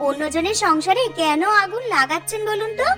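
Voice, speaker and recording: A woman's voice: extremely high pitch, expressive, a little fast. A woman playing a peevish, jealous village farmer's wife in a cartoon, nagging and confrontational. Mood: accusing. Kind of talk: animated cartoon dialogue. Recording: music bed behind the voice.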